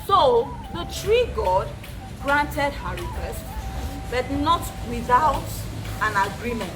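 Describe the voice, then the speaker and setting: High-pitched Nigerian woman storyteller over music. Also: very animated, slow, rough recording. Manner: theatrical, repeats phrases, puts questions to the listeners. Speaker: storyteller and host of a folktale show for children. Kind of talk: interactive children's storytelling.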